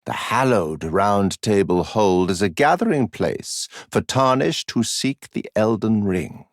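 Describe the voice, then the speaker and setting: Smug fantasy game mentor, low-pitched, creaky, mock-sympathetic, theatrical, sinister, condescending. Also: male voice, animated, no room sound, clean studio sound. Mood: haughty, prodding. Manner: mock-sympathetic, theatrical, drawn-out deliberate phrasing.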